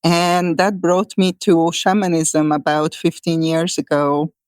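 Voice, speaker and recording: A woman's voice: sits low, little pitch movement, unhurried, a little mellow, no room sound. A woman in her forties from Hungary, speaking with a Hungarian accent, answering interview questions as a shamanic healer in a thoughtful way full of fillers and restarts.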